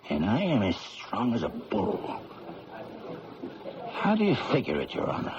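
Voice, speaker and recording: A man's voice: on the low side, huge pitch swings, a little slow, a crowd in the background. An actor playing a roguish, hard-drinking man in a radio drama, breezy, wry and unrepentant about being caught as an impostor. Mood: wry, resigned. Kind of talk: old-time radio drama acting. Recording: dull radio broadcast with loud music.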